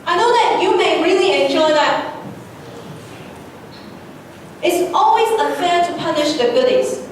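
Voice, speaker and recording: Stern high-pitched female teacher in echoey hall. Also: animated, a little slow. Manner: stern, admonishing, rhetorical questions. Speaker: teacher addressing school assembly. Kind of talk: stern scolding address to students. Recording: very echoey hall, distant, noisy.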